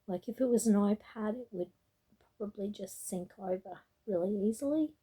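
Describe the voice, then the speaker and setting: A tired woman with a raspy voice, rambling casually in a late-night crochet vlog, full of ums and restarts. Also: mid-range voice, moderate pace, warm tone. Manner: meandering, with frequent ums and restarts. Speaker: crochet vlogger. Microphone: tablet camera.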